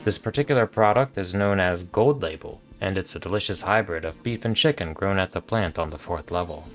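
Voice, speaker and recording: A man reading video game dialogue aloud in a monotonous voice, with casual asides. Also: deep voice, a little fast, soft background music.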